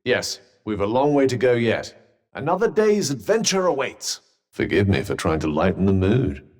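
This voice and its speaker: A man's voice: lowish voice, wildly swooping pitch, normal speed, a little mellow, big-room sound. A man voicing a sardonic male talking magic bracelet in a video game, with dry teasing and arch, formal phrasing.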